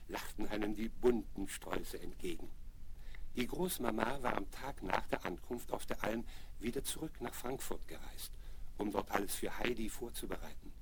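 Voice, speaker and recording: Low-pitched male storyteller on noisy vinyl. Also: creaky. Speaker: narrator. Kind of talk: scripted children's story narration. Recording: noisy 1970s vinyl rip with clicks.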